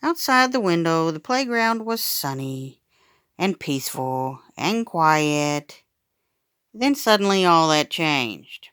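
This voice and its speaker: A woman reading a children's book aloud in an expressive, dramatic storytime style. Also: deep voice, slow, smooth, no echo, clean studio sound. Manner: animated, dramatic. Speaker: storytime reader.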